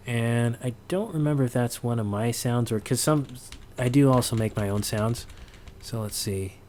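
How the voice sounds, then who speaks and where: A man's voice: medium pitch, normal speed, darkish tone, slight background noise. A man presenting a casual sound design walkthrough, hesitant and thinking aloud as he goes. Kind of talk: casual screen-recorded walkthrough.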